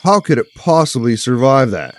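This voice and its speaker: A jumpy, skeptical sheriff's deputy played by a man in a scripted audio drama. His mid-range voice swings widely in pitch. He blurts things out and is easily alarmed. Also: moderate pace, lightly acted. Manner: excitable, blurting.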